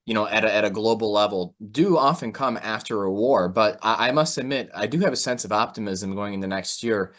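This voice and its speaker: A man's voice: fairly low pitch, a little fast, few pauses, a bit bright. A man speaking casually as a podcast host and analyst, agreeable and conversational in manner. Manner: agreeable, frequent short affirmations.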